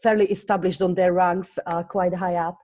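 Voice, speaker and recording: Slovak-accented woman with a low, mellow voice. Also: level tone, moderate pace. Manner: earnest, hesitant with many fillers. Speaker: guest, business coach. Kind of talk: conversational interview.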